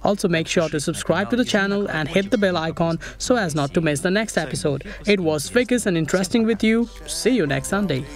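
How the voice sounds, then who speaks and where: Higher-voiced young man vlogging outdoors. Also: lively intonation, fairly quick, few pauses, music in the background. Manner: plain, explanatory, step-by-step. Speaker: vlogger building a treehouse. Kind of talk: casual outdoor vlog narration. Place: forest outdoors.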